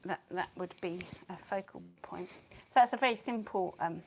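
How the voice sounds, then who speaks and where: A woman's voice: lowish voice, normal speed, darkish tone, a little hiss or hum. A woman losing her voice, teaching watercolour painting live in a casual, rambling way and often muttering to herself as she works. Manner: chatty, rambling, thinks aloud, often mutters under her breath.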